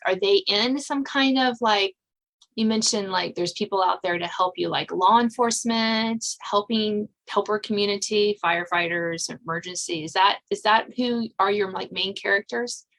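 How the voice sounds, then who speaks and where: Woman podcast host, informal and halting. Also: mid-range voice, fairly bright tone. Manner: halting, informal, often rephrasing questions mid-sentence. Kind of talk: casual interview chat.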